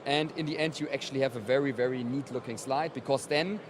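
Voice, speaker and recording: A man's voice: medium pitch, fairly even intonation, quick pace. A man being interviewed as a company representative, explaining his product conversationally at a trade event. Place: busy exhibition hall. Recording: loud trade show floor noise.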